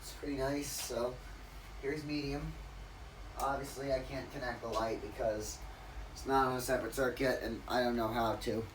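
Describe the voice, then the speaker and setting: A man with a raspy voice rambling through a casual, off-the-cuff demonstration, correcting himself and losing his train of thought. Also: medium pitch, fairly even intonation, normal speed, recorded from a distance, noisy background. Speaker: ceiling fan collector demonstrating a fan. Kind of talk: casual off-the-cuff video narration.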